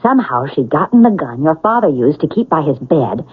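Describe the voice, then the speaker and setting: A woman's voice: low voice, very animated, a little fast, breathy edge, full character voice. An actress in a radio drama playing a cold, scheming, sardonic woman with a theatrical, calculating manner. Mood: cool, plotting. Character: cold scheming housekeeper's daughter plotting murder, sardonic woman. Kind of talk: 1970s radio drama acting. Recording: muffled, lo-fi old radio broadcast.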